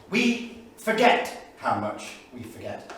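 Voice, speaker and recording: An erudite, uneasy English don of the 1930s in a staged reading, speaks with literary deliberation and dry irony. The voice sits at an average male pitch, heard from a distance in a very reverberant theatre with faint noise. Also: a bit bright, full character voice. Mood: reflective. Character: erudite, anxious English Cambridge don keeping a 1938 journal. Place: small studio theatre, live staged reading.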